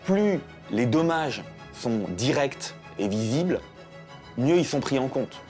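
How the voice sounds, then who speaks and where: A man's voice: mid-range voice, animated, slow, darkish tone, soft background music. A man giving analytical, argumentative commentary in an interview for a documentary.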